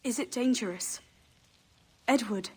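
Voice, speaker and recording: Game role: practical, worried young woman female actor, privateer's wife, fairly high voice, questioning manner, faint storm behind. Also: measured pace, a little mellow, a little hiss or hum, close to an everyday voice. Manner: questioning, earnest. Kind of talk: cinematic game dialogue.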